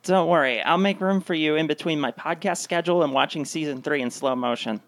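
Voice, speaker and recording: A woman playing a jaded, cutting girlfriend with deadpan sarcasm in a comedic radio play. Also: deep voice, fairly quick, dry recording, close to an everyday voice. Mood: sarcastic.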